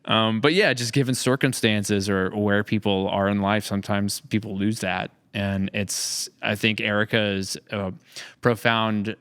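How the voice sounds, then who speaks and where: A man's voice: lowish voice, fairly even intonation, a little slow, a bit bright, clean tone, no room sound. A man hosting a casual podcast interview, wordy and thinking aloud as he phrases things. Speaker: podcast host, series creator.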